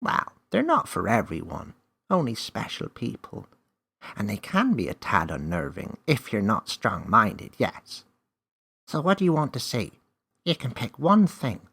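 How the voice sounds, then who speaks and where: A man's voice: medium pitch, huge pitch swings, creaky, fairly bright tone, breathy edge, pitched above the performer's own voice, full character voice. A man voicing a small magical male companion, encouraging and slightly impatient, in a children's story. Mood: coaxing, mysterious. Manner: brisk, coaxing, reassuring.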